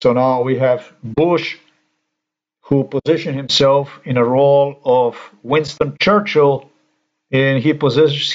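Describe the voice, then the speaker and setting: Indignant man ranting, lo-fi. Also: medium pitch. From Slovenia. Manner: indignant, halting, swears. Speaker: commentator. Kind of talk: ranting screen-recorded commentary.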